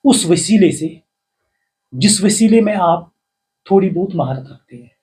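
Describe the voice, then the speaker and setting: An older man with a Kashmiri accent, a poet and writer giving earnest, formal advice in a slow, measured way. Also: high-pitched, animated, mellow. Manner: formal, measured, slow recitation with rising emphasis. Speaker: poet and writer, interview guest. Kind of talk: reciting verse, then earnest advice.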